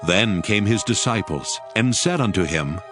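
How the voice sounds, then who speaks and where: A man's voice: on the low side, expressive, a little slow. A man narrating scripture in a scripted, dramatic storytelling style. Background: music.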